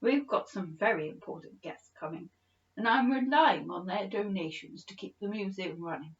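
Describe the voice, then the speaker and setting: A woman's voice: medium pitch, normal speed, highs cut off, close to an everyday voice. A woman voicing a thin, snappish male head curator, a stern and disdainful storybook villain. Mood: scornful, threatening.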